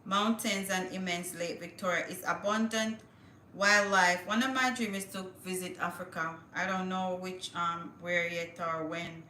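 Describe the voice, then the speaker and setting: A woman's voice: on the low side, fairly even intonation, unhurried, sharp tone, echoey room, a little hiss or hum, low-quality recording. A woman hosting a casual livestream, chatting to viewers and reading news aloud with small stumbles. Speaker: livestream host.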